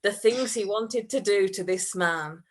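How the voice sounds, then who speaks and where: A woman's voice: mid-range voice, a little slow. A woman giving a live reading of comic fiction, giggly and prone to breaking into laughter. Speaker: reader at a comedy event.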